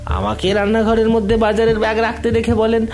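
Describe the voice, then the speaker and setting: A man acts out a meek servant in his forties, a household cook in a detective audio drama. He sounds anxious and deferential, pitched high for a man, over faint music. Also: flat delivery, darkish tone, natural voice. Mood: anxious, recounting. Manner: deferential, halting, testifying as a servant. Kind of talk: Bengali audio drama acting.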